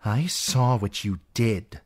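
A shrill, jealous noble lady. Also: slow pace, a little mellow, husky. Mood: cold. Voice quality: shrill, piercing. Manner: accusing, spiteful, rising into screeching fury. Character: jealous, unhinged middle-aged noblewoman. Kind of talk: audiobook character voice.

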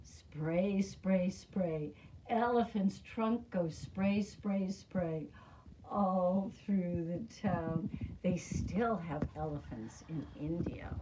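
An older woman, in her sixties, reading aloud to young children as their teacher, with deliberate, expressive, simple phrasing. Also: lowish voice. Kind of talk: children's read-aloud storytelling. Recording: noisy amateur room recording with clicks.

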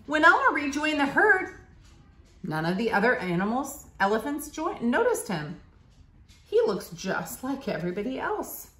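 A woman's voice: high-pitched, wildly swooping pitch, moderate pace, sharp tone. A woman in her thirties reads a picture book aloud expressively to a child, in simple teacherly phrases, recorded in a living room a short way from the camera. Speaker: school staff member reading aloud to a child. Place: living room, seated on a leather couch. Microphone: camera a short distance away. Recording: roomy, echoey home recording.

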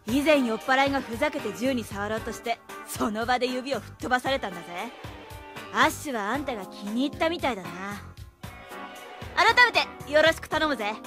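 Woman as a high-voiced, cheeky street boy. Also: brisk, music in the background, close to an everyday voice. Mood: impressed, friendly. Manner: rough boyish street slang. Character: small, cheeky, streetwise young boy gang member. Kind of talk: radio drama acting.

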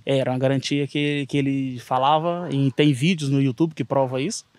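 A man from Brazil with a Brazilian Portuguese accent, recounting his story in a halting interview outdoors in a garden. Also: medium pitch, fairly even intonation, darkish tone, no echo. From Brazil, Minas Gerais. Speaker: interviewee, investor. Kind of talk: casual interview recollection.

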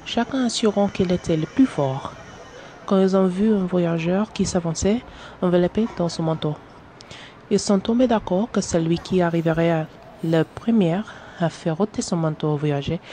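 A man's voice: high-pitched, dark tone, a little hiss or hum. A man from Georgia in the United States, reading a set passage aloud evenly. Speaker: recorded contributor.

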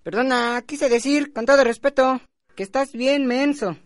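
A woman's voice: high voice, moderate pace, heavy background noise. A woman with a Mexican accent playing a cheeky, needling teenage schoolboy in a radio drama, slangy and sarcastic. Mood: mock-polite.

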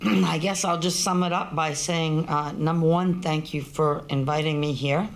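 A woman candidate giving a halting, filler-laden closing statement at a forum, seated in a small meeting room. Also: sits low, steady tone. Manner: halting, slow, with many fillers. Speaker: political candidate.

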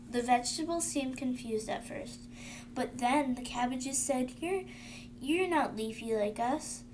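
Female co-reader, high pitch, picture book read-aloud with small stumbles and restarts, slight background noise. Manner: halting reading with stumbles and self-corrections.

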